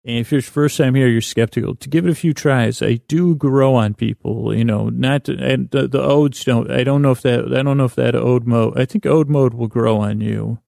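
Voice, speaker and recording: A man with a creaky voice hosting a sleep podcast, delivering a meandering, halting bedtime monologue with whimsical, self-deprecating digressions. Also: mid-range voice, darkish tone, no room sound, studio quality. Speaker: podcast host. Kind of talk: rambling bedtime monologue to help listeners sleep.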